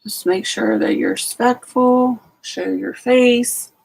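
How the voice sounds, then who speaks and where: A woman's voice: fairly high pitch, slow pace, vocal fry, a little mellow, breathy edge, low-quality recording. A sleepy woman hosting a late-night casual live stream from home, greeting each viewer by name. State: sleepy, just out of bed. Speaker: homestead channel host.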